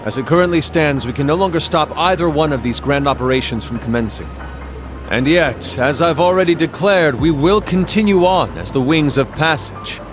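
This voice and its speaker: A man as a resolute, higher-voiced prince. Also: expressive, moderate pace, natural voice. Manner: formal, firm, declarative. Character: dignified, resolute prince leading allies. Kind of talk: dramatic video game cutscene acting. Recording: clear music behind.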